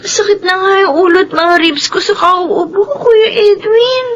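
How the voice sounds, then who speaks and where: A woman's voice: extremely high pitch, normal speed, lo-fi sound. A woman playing a whiny, pleading teenage schoolgirl in a Filipino radio drama, with a Filipino accent. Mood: whiny complaining. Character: tearful, pleading, sickly teenage schoolgirl, youngest daughter.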